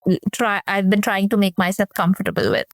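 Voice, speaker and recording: An Indian-accented woman in her thirties answers interview questions in a thoughtful, halting way, often pausing on fillers. Her voice sits at an ordinary middle pitch for a woman. The sound is close and dry, with no room echo. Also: fairly even intonation, creaky. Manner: thoughtful, hesitant with frequent fillers. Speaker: guest, communication professor. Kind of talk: casual interview conversation.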